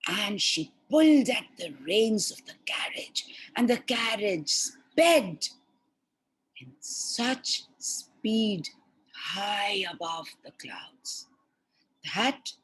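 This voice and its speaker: A woman telling a fairy tale to children in an expressive style, with deliberate, dramatic pauses between short phrases. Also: medium pitch, slightly rough, poor audio. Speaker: storyteller for children.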